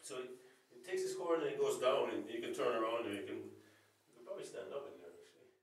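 A man's voice: medium pitch, expressive, fast. A man on a caving team, chatting casually while exploring inside a cave. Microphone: camera mic at some distance. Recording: very echoey cave, distant, noisy.

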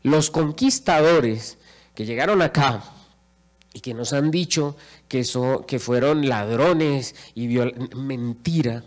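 A man's voice: medium pitch, takes it slowly. Fervent Colombian man lecturing on stage. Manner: rhetorical, repeats phrases for emphasis, paces the stage. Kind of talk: impassioned public speech. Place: large auditorium stage. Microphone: handheld microphone.

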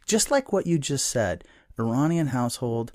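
A man's voice: mid-range voice, animated, normal speed, dry recording, studio quality. A man hosting a podcast, asking short curious follow-up questions and laughing along with his guest. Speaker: podcast host. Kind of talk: casual interview conversation.

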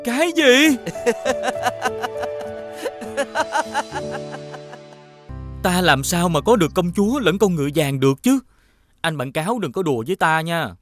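An earnest young prince in an animated fairy tale. His voice is fairly high and very animated, expressive in delivery. The sound is dry, with faint music behind. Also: brisk. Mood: doubtful, protesting.

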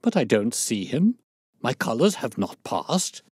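A man voicing an irascible, blustering older gentleman colonel in an audiobook, indignant and emphatic. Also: mid-range voice, sing-song, measured pace, slightly rough, no room sound, studio quality, voice pushed up. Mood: agitated. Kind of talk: audiobook character dialogue.

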